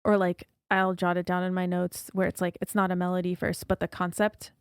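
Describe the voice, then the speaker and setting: A young woman, a singer-songwriter guest, talking casually and thoughtfully in a podcast interview, soft-spoken with many fillers. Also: lowish voice, little pitch movement, fairly quick, smooth, dry recording, studio quality.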